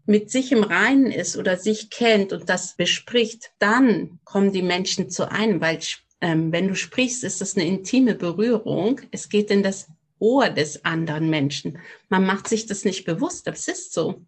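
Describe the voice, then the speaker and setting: A woman's voice: medium pitch, normal speed, slightly rough. A middle-aged woman from near Cologne with a slight Rhineland accent, a voice coach and opera singer, speaking reflectively and earnestly as a podcast guest. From near Cologne, Germany. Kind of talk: podcast interview.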